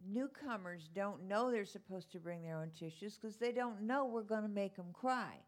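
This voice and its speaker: A woman's voice: medium pitch, normal speed, a little hiss or hum. A woman from Texas speaking from the floor of a meeting as a participant.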